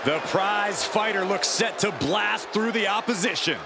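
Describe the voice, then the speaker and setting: A man's voice: sits high, a little slow, gravelly. A man playing a play-by-play wrestling commentator in a video game, setting up the match. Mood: keen anticipation. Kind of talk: sports commentary. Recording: very loud background arena noise.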